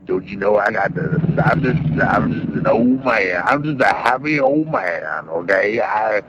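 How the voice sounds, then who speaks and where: A gruff old blues singer, very deep and slow, full of boastful swagger. His throaty drawl sits over plucked guitar in a muffled lo-fi recording. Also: expressive, very noisy. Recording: dull lo-fi sound, music behind.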